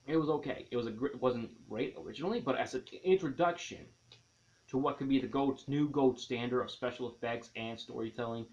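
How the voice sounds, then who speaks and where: A man presents a film review over slightly dull, lo-fi audio. His voice sits at a medium pitch, and he delivers opinions with enthusiasm, stretching and punching key words for dramatic effect. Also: darkish tone. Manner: emphatic, dramatic stresses and drawn-out key words. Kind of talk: enthusiastic spoken movie review. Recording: dull, lo-fi sound.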